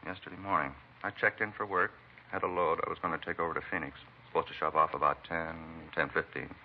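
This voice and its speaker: A man's voice: sits low, fairly even intonation, fast talker. An actor playing a beaten, talkative American truck driver in a vintage radio drama, rambling and folksy in plain working-man talk. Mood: pained, chatty. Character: beaten truck driver, talkative, folksy, eccentric self-styled bump-reader. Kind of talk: 1940s-50s radio acting. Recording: muffled vintage radio recording, faint hiss.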